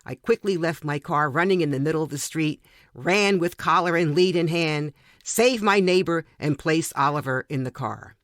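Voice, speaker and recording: A man with a slightly high voice reads a light, humorous story aloud in a narrator's style. The recording is dry and close, with little sound of the room. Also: normal speed, a bit bright, no echo. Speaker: reader of short stories for a podcast.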